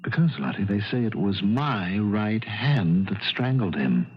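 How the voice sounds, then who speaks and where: A man's voice: on the low side, lively intonation, a little slow, heavy background noise. An actor playing a distraught, bitter man, a concert pianist, in a 1970s radio drama with an intense, agitated delivery. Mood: grim, stunned. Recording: dull vintage radio recording.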